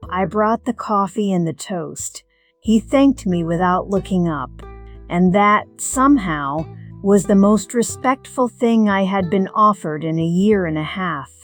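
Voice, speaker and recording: A woman playing a middle-aged retired firefighter, narrating a scripted first-person story in a measured, reflective, wry way. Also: mid-range voice, soft background music, close to an everyday voice. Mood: wry, tender.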